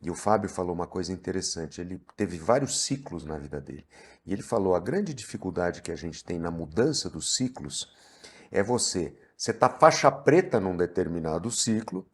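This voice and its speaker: A man with a husky voice, hosting a conversational interview. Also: deep voice, animated, normal speed. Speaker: interview host.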